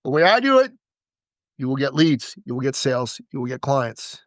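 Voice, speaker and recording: A man talking as a podcast guest and business coach, giving an energetic pitch and repeating words for emphasis. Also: normal speed, dry recording, studio quality.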